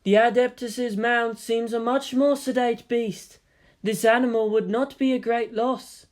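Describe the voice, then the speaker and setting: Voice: mid-range voice, steady tone, unhurried, voice pushed up, lightly acted. A narrator voicing a haughty, lecherous seer who speaks inside a boy's head in a fantasy story. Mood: disdainful.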